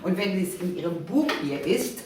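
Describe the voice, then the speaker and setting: A woman in her seventies hosting a conversational interview, speaking emphatically and deliberately. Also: on the low side, lively intonation, mellow. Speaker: host. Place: room, filmed conversation. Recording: echoey, distant, noisy room.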